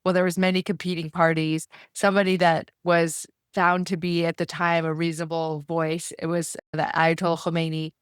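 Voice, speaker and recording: A woman's voice: fairly low pitch, flat delivery, moderate pace, hardly any pauses, smooth, dry recording, studio quality. A woman in her forties, an interview guest from Iran, telling a personal story in an earnest, conversational way. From Iran, moved to the US as a child. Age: 40s. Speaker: interview guest, law professor.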